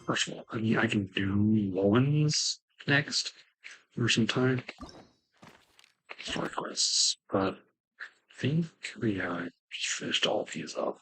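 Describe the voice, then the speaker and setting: A man's voice: fairly low pitch, lively intonation, slow, pauses often, pushed and bright, breathy edge, dry recording, rough recording. A man streaming a game, casually thinking aloud and muttering to himself as he plays. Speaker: gaming streamer. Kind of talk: casual play-along muttering.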